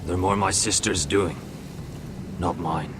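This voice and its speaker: An actor playing a grave young northern warrior, measured and worried as he speaks. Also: low-pitched. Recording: game audio with music score.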